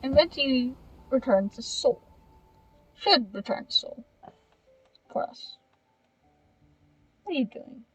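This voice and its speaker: A woman chatting casually and exclaiming excitedly as she reacts to a video game's story while playing it. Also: high voice, slow, pauses often, husky. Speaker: game streamer reacting to story. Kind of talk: casual gameplay reaction chat. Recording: dull lo-fi, game music behind. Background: game music.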